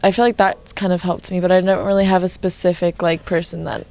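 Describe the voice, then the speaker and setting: Giggly teen girl bassist. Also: level tone, fairly quick, gravelly, dark tone. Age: late teens. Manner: giggly, filler likes. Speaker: bass player in a band. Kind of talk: casual interview chat.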